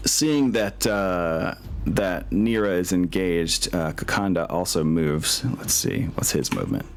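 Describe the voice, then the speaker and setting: A man's voice: low voice, lively intonation, a little slow, a little hiss or hum. A man acting as game master, narrating a tabletop game in a casual, easygoing way with apologetic asides. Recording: music behind the voice.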